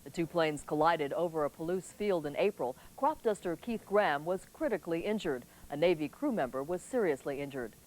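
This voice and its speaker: A woman's voice: low voice, animated, a little fast, few pauses, darkish tone, poor audio. A woman reading local television news as an anchor, in scripted newscast delivery.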